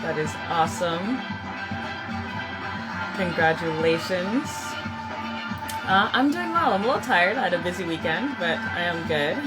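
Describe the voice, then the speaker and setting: A woman's voice: fairly low pitch, lively intonation, a little slow, pauses often, over loud music, rough recording. A woman hosting a live podcast stream, upbeat and laughing often as she greets viewers and asks questions. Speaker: podcast host.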